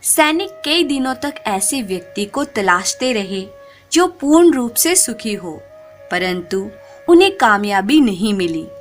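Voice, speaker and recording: A woman storyteller reads a folk tale at a slow, measured pace. Her pitch moves expressively through each sentence, with music playing under her voice. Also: sing-song. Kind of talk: scripted narration of a moral folk tale.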